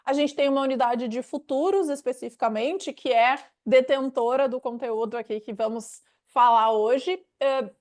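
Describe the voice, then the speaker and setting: A woman's voice: sits high, moderate pace, few pauses, a little mellow. A Brazilian woman with a gaúcho accent hosting a webinar, talking in a conversational, explanatory way. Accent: gaúcho Brazilian Portuguese. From Rio Grande do Sul, Brazil. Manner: didactic, explanatory. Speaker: webinar host, director of a knowledge unit. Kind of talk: conversational presentation.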